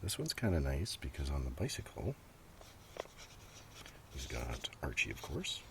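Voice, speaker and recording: An extremely deep-voiced man rambles through a casual ASMR show-and-tell, half murmured and sometimes whispered. His intonation wanders up and down in a lively way. He is recorded outdoors, with clear breeze noise and rustling pages around the voice. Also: measured pace. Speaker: host of a collection show-and-tell. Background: breeze, paper rustling and page flipping.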